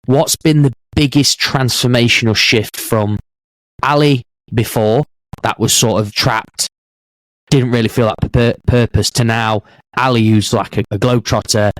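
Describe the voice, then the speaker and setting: A man hosting a podcast, asking long questions and affirming his guest warmly. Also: fairly low pitch, fairly even intonation, normal speed, dry recording, rough recording. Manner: encouraging, affirming interviewer. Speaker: podcast host. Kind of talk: casual interview chat.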